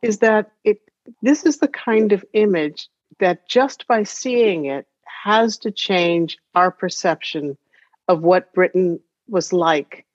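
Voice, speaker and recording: A woman chairing a podcast discussion, speaking in a reflective, deliberate way. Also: fairly low pitch, dry recording. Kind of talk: measured panel discussion. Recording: dull, dry lo-fi connection.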